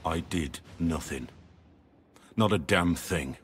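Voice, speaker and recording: A man playing a brooding, guilt-ridden middle-aged warrior in a video game, speaking gravely and earnestly. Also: rumbling low, takes it slowly, faint music behind. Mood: bitter, remorseful. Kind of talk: dramatic video game acting.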